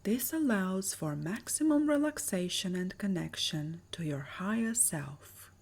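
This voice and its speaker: A woman with a soft, breathy voice narrating a guided meditation in a soothing, measured manner. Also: medium pitch, animated. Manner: soothing, measured, breathy delivery with lingering pauses.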